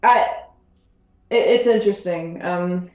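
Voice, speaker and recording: A woman chatting casually about a tabletop game as a podcast panelist, thinking aloud in halting, repeated phrases. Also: fairly low pitch, darkish tone. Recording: roomy, echoing sound.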